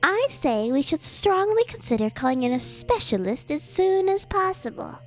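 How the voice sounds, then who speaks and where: A woman playing a calm, courteous physician in an anime-style video game, speaking in a measured, professional way. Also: high voice, soft background music. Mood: calm, advising.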